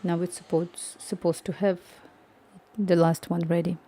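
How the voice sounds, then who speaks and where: Softly mellow woman coding live. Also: lowish voice, level tone, unhurried, a little hiss or hum. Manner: thinking aloud, reading chat, many restarts. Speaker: coding streamer. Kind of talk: live-stream coding chat.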